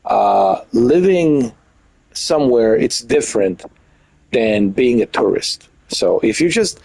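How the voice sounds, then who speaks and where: A man's voice: lively intonation, slow, gravelly, a little mellow, no room sound, low-quality recording. A man in his fifties, originally from Europe, chatting loosely and repetitively while streaming a game. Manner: loose and repetitive, full of "like" and "dude"; mimics others in a high mocking voice. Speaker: gaming streamer. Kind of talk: casual rambling chat.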